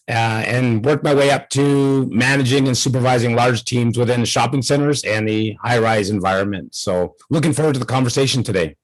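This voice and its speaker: A middle-aged man, a security manager on a webinar panel, introducing himself plainly over a video call with a crackly, strained voice. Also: mid-range voice. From Canada.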